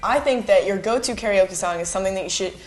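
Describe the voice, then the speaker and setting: A woman's voice: mid-range voice, fast, echoey room, soft background music. A woman from Canada hosting a casual, playful interview in a karaoke bar, teasing and laughing at herself. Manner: playful, teasing, self-mocking. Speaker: host.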